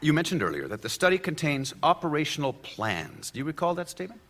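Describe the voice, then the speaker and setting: High, bright-voiced cross-examining lawyer. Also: male voice, sing-song, exaggerated voice. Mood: probing, pointed. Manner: pointed, deliberate questioning. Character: probing, methodical male defense attorney cross-examining. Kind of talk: radio play acting.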